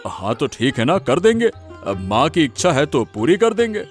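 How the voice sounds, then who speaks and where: A man voicing a repentant middle-aged village headman in a cartoon, speaking in a deliberate, formal way. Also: on the high side, big pitch swings, soft background music. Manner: deliberate, formal and addressing a crowd. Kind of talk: Hindi cartoon dubbing.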